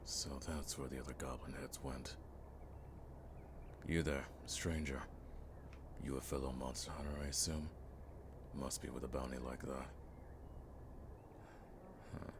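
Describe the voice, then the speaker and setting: An extremely low-voiced, weathered monster hunter played by a man from a fantasy audio drama. He speaks in dry, formal, world-weary lines with little melodic movement. The gravelly male voice sits over clearly audible background noise. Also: level tone. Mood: curious, wry. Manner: dry, unhurried, wryly formal speech.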